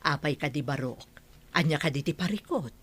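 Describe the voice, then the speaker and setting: Kindly aunt: woman, low husky voice, coaxing, sympathetic, radio drama. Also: expressive, brisk, a bit bright, full character voice. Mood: concerned. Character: kindly, practical older aunt who consoles.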